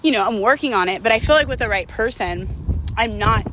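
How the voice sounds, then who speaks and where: A woman's voice: fairly high pitch, expressive, fairly quick, background noise. A woman talking casually and candidly to viewers as a vlogger while walking, slightly out of breath, with self-mocking asides and rhetorical questions. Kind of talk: casual confessional chat to viewers.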